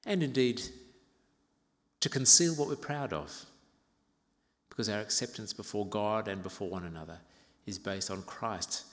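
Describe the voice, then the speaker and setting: A man in his fifties from Uganda preaches in a large church with long, ringing reverb. His voice sits on the low side, and he explains scripture in a measured, reflective manner, pausing and slipping in gentle asides. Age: 50s. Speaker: pastor preaching to own congregation. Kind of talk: expository sermon. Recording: reverberant hall.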